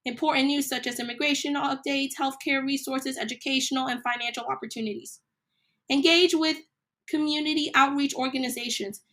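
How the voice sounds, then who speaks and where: Bright, high-voiced woman podcast host. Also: steady tone, fairly quick. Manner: earnest, list-like delivery of points. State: recovering from a cold, slightly raspy. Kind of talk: solo podcast talk, partly read.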